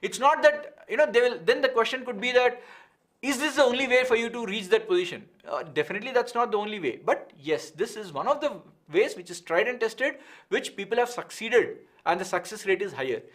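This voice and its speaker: A man's voice: extremely high pitch, animated, brisk, a bit bright. A man with an Indian accent teaching a live online coaching session, explaining frankly with rhetorical questions and answering viewers. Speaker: exam coaching instructor.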